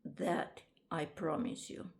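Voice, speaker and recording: A woman, introducing herself to camera in a casual vlog, halting often with ums and restarts. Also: deep voice, steady tone, gravelly. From Romania. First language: Romanian. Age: 50s. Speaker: vlogger and author.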